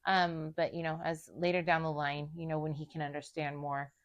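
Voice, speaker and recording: Low-voiced woman speaking haltingly. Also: level tone, muffled recording. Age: adult with a young son. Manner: halting, searching for words, many restarts. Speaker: daughter in recovery. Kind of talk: candid personal conversation.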